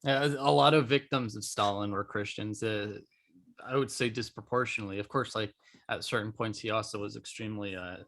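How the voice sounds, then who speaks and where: A man's voice: fairly low pitch, steady tone, a little fast, fairly bright tone. A man co-hosting a podcast, talking casually in a discussion. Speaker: podcast co-host.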